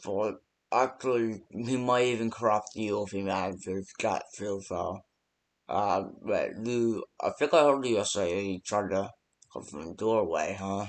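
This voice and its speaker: A man with a slightly low voice talks slowly, as if leaving phone messages for a game. His delivery is casual and unpolished, full of hesitant pauses and ums as he rambles along. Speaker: phone-call guide in a fan horror game. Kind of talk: improvised game phone-call voice lines.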